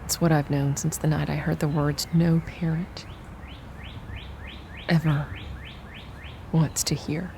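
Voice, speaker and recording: A woman playing a bereaved mother, emotionally hollow and bitter, giving a somber, measured dramatic monologue. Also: deep voice, flat delivery, vocal fry, a little mellow, slight background noise, full character voice. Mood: hollow grief. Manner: measured, somber, poetic delivery. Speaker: audio drama actor.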